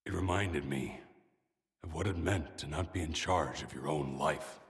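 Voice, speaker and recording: A battle-worn commander in a video game, speaking from very deep in his register. He is solemn and reflective, weary but dignified, and his words ring out in a long, hall-like reverb. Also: a little slow. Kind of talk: dramatic video game acting.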